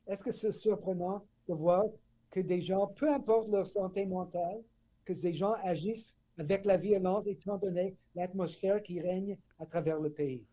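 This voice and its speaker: Earnest professor with a very dark voice. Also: male voice, high voice, fairly even intonation, normal speed, poor audio. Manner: earnest, restarts words. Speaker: political science professor. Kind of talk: radio interview guest.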